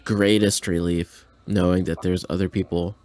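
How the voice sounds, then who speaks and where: A man's voice: deep voice, flat delivery, moderate pace, a little mellow. A man hosting a listen-along, giving casual running commentary in everyday conversational speech. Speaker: host reacting to a radio play. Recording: faint background noise.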